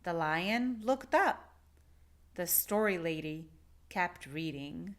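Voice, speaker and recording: Slow, animated woman storyteller. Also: lowish voice. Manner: deliberate, expressive. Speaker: children's read-aloud storyteller. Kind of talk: expressive scripted storybook narration for children.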